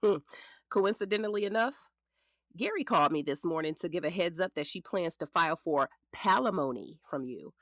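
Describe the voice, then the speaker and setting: A woman's voice: on the low side, expressive, a little fast, a bit bright, dry recording, studio quality. An actress playing a frank, sassy middle-aged lawyer in a naturalistic audio drama, protective of the ex-husband she represents. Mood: measured, matter-of-fact. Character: frank, sassy female lawyer, protective ex-wife of her client. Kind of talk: naturalistic scripted drama dialogue.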